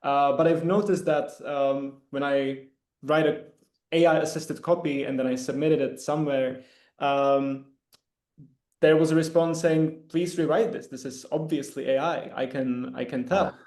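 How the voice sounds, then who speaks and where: Young man, steady answer, roomy echo on video call. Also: fairly high pitch. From Czech Republic. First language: Czech. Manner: steady, measured, some fillers. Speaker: PR consultant. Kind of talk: panel discussion answer. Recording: echoey room.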